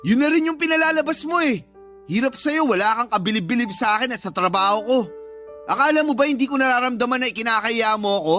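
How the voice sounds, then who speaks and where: A very high-pitched, defensive husband. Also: male voice, fast talker, bright, forceful tone. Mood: wounded, angry. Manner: dismissive, defensive, argumentative. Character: insecure, prideful, defensive working-class young husband. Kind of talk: Tagalog radio drama acting. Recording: music bed behind the voice.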